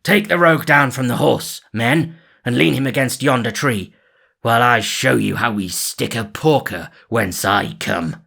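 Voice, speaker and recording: A man's voice: medium pitch, measured pace, vocal fry, pushed and bright, full character voice. A man voicing an outlaw who fakes a harsh voice in disguise.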